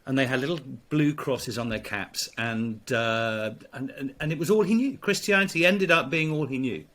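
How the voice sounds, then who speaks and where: A middle-aged English man, a priest, telling a personal story in conversation, halting with many fillers and restarts. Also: medium pitch, a bit bright. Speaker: priest, guest.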